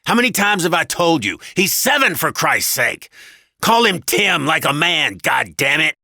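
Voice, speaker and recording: A male narrator plays a domineering husband in an audiobook story. He is crude and sneering, pushing his voice loud and high with bright, forceful edges. The sound is dry and close. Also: very animated, normal speed, gravelly, no room sound, full character voice. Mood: angry, belligerent. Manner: loud, belligerent, sneering, swearing. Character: gruff, crude, domineering cigar-smoking husband.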